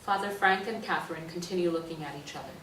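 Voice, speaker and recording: A woman's voice: lowish voice, fast, a bit bright. A woman narrating scripted stage directions at a play reading. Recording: roomy, distant mic, faint noise.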